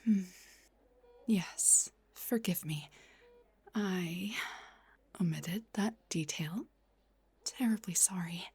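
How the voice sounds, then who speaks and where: A woman playing a gentle, refined vampire doctor in a soft-spoken roleplay, breathy and whispery, with a polite, slightly hesitant manner. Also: lowish voice, very slow, pauses often, exaggerated voice. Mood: apologetic, reassuring.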